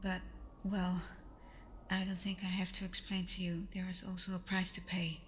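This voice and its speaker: A woman playing a protective, anguished vampire girlfriend in an ASMR roleplay, speaking in a soft, breathy half-whisper with earnest pauses. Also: lowish voice, monotone, a little hiss or hum, natural voice. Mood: solemn, reluctant. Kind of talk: ASMR roleplay, close intimate acting.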